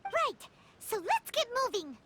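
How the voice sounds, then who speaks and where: A woman voicing a tiny, curious, feisty fairy companion in a video game, with a squeaky childlike voice full of exclamations and questions. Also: huge pitch swings, measured pace, bright, forceful tone, a little hiss or hum, exaggerated voice. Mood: eager. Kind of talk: English video game voice acting.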